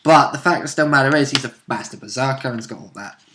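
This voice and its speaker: A man with a medium-pitched voice. He chats casually about a tabletop game as a player and co-host, adding wry, conversational comments. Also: quick pace, a bit bright, slight background noise.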